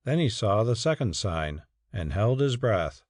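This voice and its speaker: A man's voice: fairly low pitch, big pitch swings, a little slow, no echo, clean studio sound. A man narrating a story as a scripted, dramatic audiobook reading.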